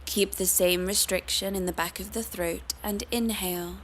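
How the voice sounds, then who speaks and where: A woman teaching yoga meditation, guiding the listener in a calm, soothing, unhurried manner. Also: medium pitch, a little hiss or hum, low-quality recording. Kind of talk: guided meditation.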